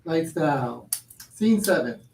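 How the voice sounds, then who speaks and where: A man's voice: a little high, animated, slow pace, gravelly, a little mellow. A man reading stage directions as scripted narration for a play. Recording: echoey room, distant, background noise.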